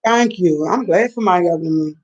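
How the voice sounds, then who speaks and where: A woman's voice: on the low side, a little fast, darkish tone. A woman, rambling through a late-night live chat and reacting to viewers' comments. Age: 50s. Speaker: livestream host. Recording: dull lo-fi sound.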